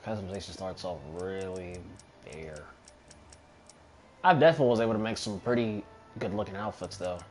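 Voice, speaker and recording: A man's voice: low voice, fairly quick, long pauses. A man giving casual gameplay commentary, muttering offhand remarks to himself and swearing freely. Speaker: gamer commentating own playthrough. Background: video game music and sounds.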